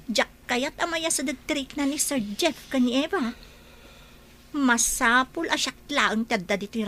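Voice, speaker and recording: A woman's voice: high voice, a bit bright, slight background noise. A woman playing a jealous, scheming woman in a radio drama, muttering plans to herself.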